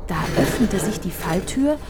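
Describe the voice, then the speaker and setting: A woman's voice: mid-range voice, animated, moderate pace. A woman narrating a children's radio play in a scripted storybook style.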